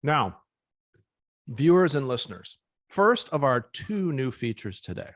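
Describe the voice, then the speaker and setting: A man's voice: medium pitch, big pitch swings, measured pace, dry recording. American male podcast host, wry and a touch showy, dry close sound. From United States. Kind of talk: casual podcast banter.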